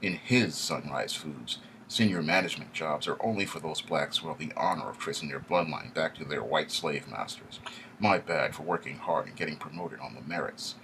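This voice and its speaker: A man reading a first-person story aloud in a steady, wry storytelling manner. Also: extremely low pitch, normal speed, a little hiss or hum, low-quality recording. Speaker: audiobook narrator. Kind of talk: first-person audiobook narration.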